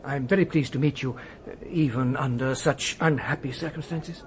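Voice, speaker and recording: An actor playing a polite, slightly flustered British hotel duty manager in a radio drama, formal and hesitant. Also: male voice, medium pitch, steady tone, moderate pace, close to an everyday voice. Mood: courteous, flustered.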